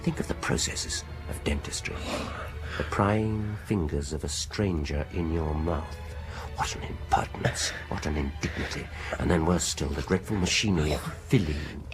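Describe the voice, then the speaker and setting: An actor playing a smooth-talking, insistent British dentist in a radio horror drama, deliberate and persuasive, often dropping into near-whispers. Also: male voice, a bass voice. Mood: hushed, sinister. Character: smooth-talking, insistent male dentist coaxing a frightened patient. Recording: radio drama with music behind.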